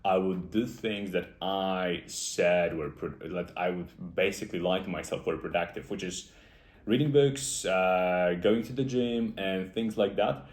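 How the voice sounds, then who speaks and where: A young man with a Polish accent and a very deep voice speaks earnestly and off the cuff, pausing often on "uh". His voice rings in a roomy, echoey space. He sounds reflective as he reasons things through aloud. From Poland. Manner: earnest, reflective, with frequent "uh" fillers. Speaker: self-improvement YouTuber. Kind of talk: unscripted motivational monologue. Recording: echoey room.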